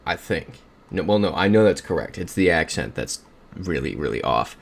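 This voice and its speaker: A young man hosts a casual livestream from his room, upbeat as he thinks aloud and reads the chat. His voice sits on the low side, captured on a desk mic. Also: sing-song, moderate pace, gravelly. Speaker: streamer.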